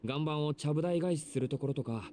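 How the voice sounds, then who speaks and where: A man's voice: fairly high pitch, very fast, warm tone, slight background noise, lightly acted. An actor playing a blunt, exasperated young man in a visual novel, talking in a casual, teasing way. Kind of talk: Japanese visual novel voice acting.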